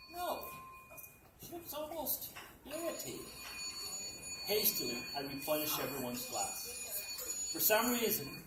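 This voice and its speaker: A man reading a humorous story aloud at a library dinner event, in a dry, deadpan storytelling manner. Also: fairly high pitch. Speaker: reader at a literacy event. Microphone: distant mic. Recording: echoey room, distant mic, background noise.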